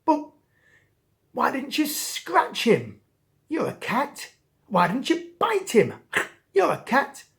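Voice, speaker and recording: A woman voicing a sensible, kindly little male mouse in a children's story, brisk and reassuring. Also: sits high, huge pitch swings, voice pushed up, full character voice. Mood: concerned, exasperated.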